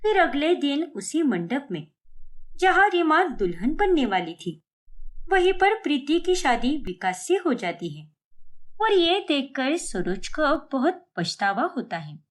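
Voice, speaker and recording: A woman's voice: high-pitched, sing-song, unhurried. A woman narrating a scripted family story in a dramatic storytelling style.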